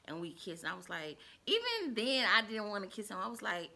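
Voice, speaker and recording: A woman's voice: medium pitch, wildly swooping pitch, brisk, gravelly, bright, forceful tone. A woman, telling a personal story to her viewers in a rambling, casual way, full of fillers and asides, acting out what people said. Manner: acts out quoted dialogue in a raised voice. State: stuffy and under the weather.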